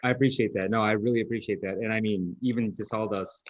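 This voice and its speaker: A man's voice: fairly low pitch, steady tone, fast, highs cut off. A man hosting a podcast, interviewing a guest in a friendly, casual way with plenty of fillers. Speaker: podcast host.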